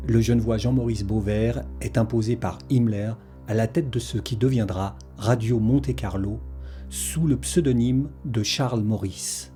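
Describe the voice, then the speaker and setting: A man's voice: lowish voice, normal speed, dark tone, faint music behind. A man reading a scripted historical documentary narration as its narrator.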